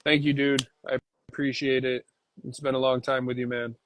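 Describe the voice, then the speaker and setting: A man's voice: mid-range voice, little pitch movement, moderate pace, fairly bright tone, a little hiss or hum. A man in casual livestream chat as a departing guest, laid-back and swearing freely.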